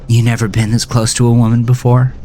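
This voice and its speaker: A man's voice: medium pitch, completely flat delivery, fast, warm tone, a little hiss or hum, pitched above the performer's own voice, natural voice. Man voicing a forthright, self-possessed woman. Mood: teasing, direct. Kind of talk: audio drama character dialogue.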